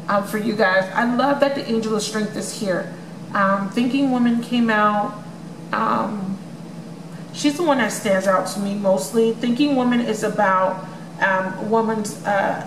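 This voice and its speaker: A woman gives a rambling tarot love reading straight to her viewers, chatty and full of ums. Her voice is strained from many readings and may crack. She is recorded in an echoey room with a steady hum of noise behind her. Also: mid-range voice. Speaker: tarot reader. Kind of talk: casual tarot reading vlog. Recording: echoey room with noisy background.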